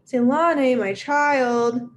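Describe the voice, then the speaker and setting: A woman's voice: drawn-out words, a little hiss or hum. A woman doing a wicked leopard faking sweetness. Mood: gruff. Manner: gruff, then falsely high and sweet. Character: wicked hungry male leopard faking a mother's voice.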